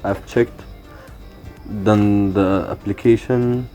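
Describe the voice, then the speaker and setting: Flat, slow-talking young man. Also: on the low side, faint music behind. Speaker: student volunteer. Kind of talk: casual interview to camera.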